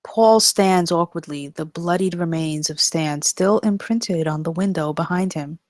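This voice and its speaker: A woman's voice: on the low side, unhurried, dry recording, clean studio sound. A woman reading stage directions as narrator in a live scripted table read.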